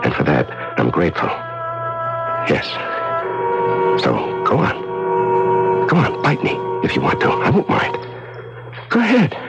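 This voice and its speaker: An actor playing a broken, gentle, half-mad male prisoner in a 1970s radio drama, halting, stammering and pleading. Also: sits low, wildly swooping pitch, creaky, lo-fi sound, full character voice. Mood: tender, wistful. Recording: old radio broadcast with music.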